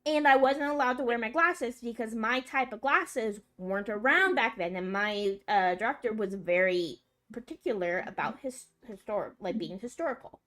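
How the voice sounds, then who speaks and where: A woman's voice: fairly high pitch, lively intonation, normal speed, fairly bright tone. A young woman on a livestream, chatting casually and rambling with many fillers. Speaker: streamer. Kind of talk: casual chat.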